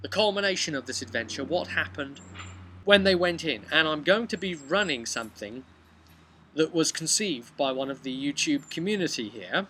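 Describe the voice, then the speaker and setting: A man's voice: fairly high pitch, pushed and bright. A man in his thirties recounting a tabletop game as game master, mixing dramatic narration with casual asides, recorded outdoors. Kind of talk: casual vlog storytelling. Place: outdoors by a brick house wall. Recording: clear outdoor background noise.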